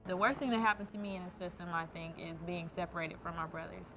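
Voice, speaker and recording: A woman's voice: lowish voice, steady tone, fast talker, rough recording. A young American woman talking candidly about her own life in an interview. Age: young adult. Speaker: interviewee, former foster youth. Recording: music bed under the voice.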